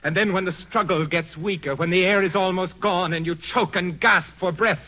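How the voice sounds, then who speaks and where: An actor playing a calm, sinister male organist who taunts his victim, in a 1940s radio drama. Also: sits high, moderate pace, low-quality recording.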